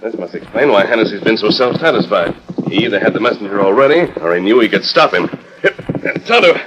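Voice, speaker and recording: A man's voice: lowish voice, expressive, brisk, muffled recording, background noise, lightly acted. A man acting a resolute, kindly masked western hero in a 1940s radio drama. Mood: urgent, serious. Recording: old 1940s radio transcription disc.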